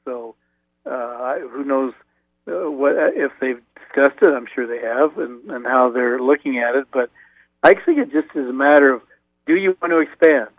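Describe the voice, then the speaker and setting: A man calling in as a radio guest, talking about college football in a halting, low-key way with frequent restarts. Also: no room sound. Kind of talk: casual radio interview over the phone. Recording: tinny phone line.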